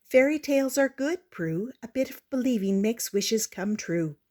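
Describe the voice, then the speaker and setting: A woman voicing a kindly, encouraging female schoolteacher in a storybook, with a gentle manner. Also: a little high, lively intonation, normal speed, pitched above the performer's own voice, close to an everyday voice. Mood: gentle encouragement.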